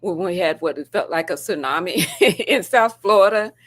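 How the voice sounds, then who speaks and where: Lower-pitched woman, casual show co-host. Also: moderate pace. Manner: informal, conversational storytelling. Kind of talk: casual morning show chat.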